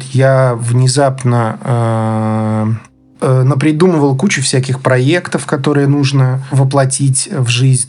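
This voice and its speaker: A man from Belarus being interviewed, casually recounting his own experience in a halting way, searching for words. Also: mid-range voice, darkish tone. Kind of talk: casual spoken recollection. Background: background music.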